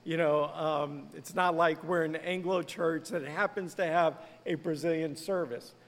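A man's voice: fairly high pitch, fairly even intonation, measured pace, big-room sound. A man who is a pastor, speaking earnestly and conversationally in a podcast interview. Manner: conversational, earnest, lightly laughing.

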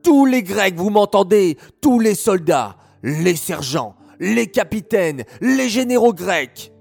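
A man's voice: sits high, big pitch swings, slow, gravelly, faint music behind, voice pushed up, full character voice. A man voicing a cruel, raging tyrant king who barks orders. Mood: furious. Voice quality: shouting, furious.

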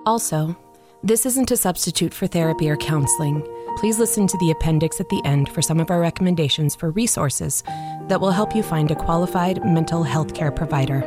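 A woman's voice: low voice, a little fast. A woman reading a scripted content advisory as an announcer. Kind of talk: scripted disclaimer read. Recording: voice over prominent music.

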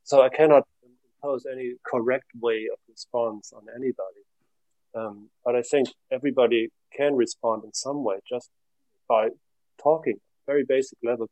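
A middle-aged man from Germany speaks as an academic guest, thinking aloud in long, careful answers. His pitch sits at an ordinary middle level for a man. The sound is dry and close, with no room around it. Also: fairly even intonation, darkish tone, dry recording, poor audio. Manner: measured, reflective, trails off at phrase ends. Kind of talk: thoughtful academic interview answers.

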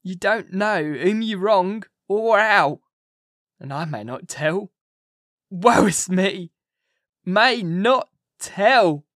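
A reader voicing a frantic male asylum inmate who begs desperately, in drawn-out, imploring cries. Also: medium pitch, fairly bright tone, no echo, clean studio sound, voice pushed up.

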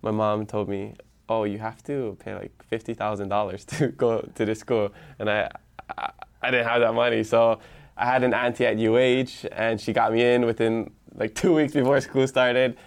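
A man's voice: medium pitch, level tone, moderate pace, vocal fry. A young man from Island, a Hawaiian speaker, telling his story easily as an interview guest and laughing often. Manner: easygoing, laughs often, rambling restarts. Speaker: podcast host as guest.

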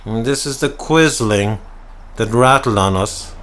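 A man voicing a stern, scornful male town doctor who talks slowly and snarls. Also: mid-range voice, a bit bright, a little hiss or hum. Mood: snarling. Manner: slow, snarling, contemptuous.

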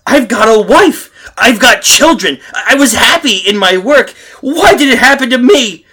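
An actor playing a frightened husband lost in time, in melodramatic old-time radio horror style with intense, urgent delivery. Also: male voice, very high-pitched, lively intonation, normal speed, sharp tone, slightly rough, heavily acted. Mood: desperate, anguished. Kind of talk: old-time radio horror acting, first-person narration and dialogue.

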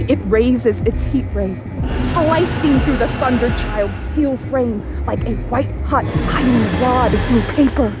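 A woman who fled a catastrophe by boat, telling it in a high, very unhurried voice. Her delivery is poetic and awestruck, audio theatre narration laid over prominent music. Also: heavily acted. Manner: poetic, deliberate, measured. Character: Izzy, woman survivor fleeing by boat, awed narrator. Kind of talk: dramatic first-person audio theatre narration.

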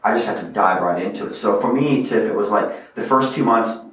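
A low-voiced man from Bosnia who grew up speaking another language tells his story in an earnest, reflective way. He sits a little back from the mic, and a strong room echo hangs on his words. His talk is an unhurried guest interview. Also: a bit bright, recorded from a distance. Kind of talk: casual interview.

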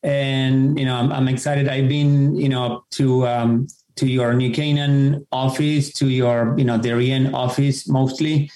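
A man's voice: medium pitch, flat delivery, measured pace, few pauses, warm tone. A middle-aged man from Argentina, a Spanish speaker, explaining things casually as a podcast guest. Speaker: podcast guest, tennis professional. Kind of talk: casual explanatory interview talk. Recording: roomy, echoing sound.

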